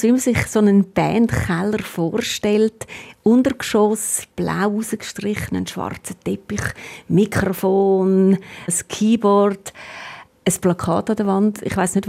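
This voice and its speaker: A Swiss German woman leads a radio-style interview, asking friendly follow-up questions. Her voice sits in the middle range with a warm, mellow tone. She speaks from a basement rehearsal room. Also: medium pitch, creaky.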